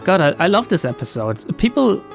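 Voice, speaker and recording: A man's voice: high voice, big pitch swings, fairly quick, vocal fry. A man reading a visual novel aloud as a streamer, theatrical, with casual asides and game music and rain behind him. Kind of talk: dramatic narration with casual commentary. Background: game music and rain sounds.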